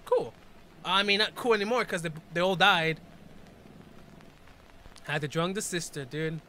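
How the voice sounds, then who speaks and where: A man's voice: sits high, measured pace, pushed and bright, slight background noise. A man in his twenties reacting casually to a video, reading text aloud and commenting in informal, slangy speech.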